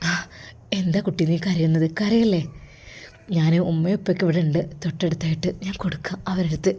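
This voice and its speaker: A woman's voice: fairly low pitch, steady tone, brisk, dark tone, breathy edge. A woman voicing a kind, reassuring young male religious teacher in a story, with a Kerala Malayalam accent. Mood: calm, consoling. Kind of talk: storytelling character voice.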